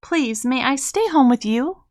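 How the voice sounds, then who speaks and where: A woman's voice: high voice, lively intonation, measured pace, darkish tone. A woman voicing a young raccoon boy in a children's storybook, childlike, pleading and full of questions. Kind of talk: children's storybook character reading.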